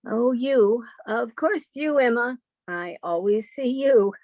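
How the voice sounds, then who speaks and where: A meek younger spinster sister in old-time radio style. Her fairly high voice is hesitant and apologetic, always yielding, recorded very dry. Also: lively intonation, takes it slowly, darkish tone, dry recording. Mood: timid pleading.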